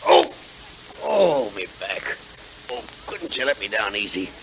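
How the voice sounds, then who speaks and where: An actor playing a rough, complaining henchman with slangy tough-guy talk in a 1930s radio serial, on a hissy recording. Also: lowish voice, sing-song, a little slow, exaggerated voice, low-quality recording. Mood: grudging, whining. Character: rough, whining henchman crook in western serial.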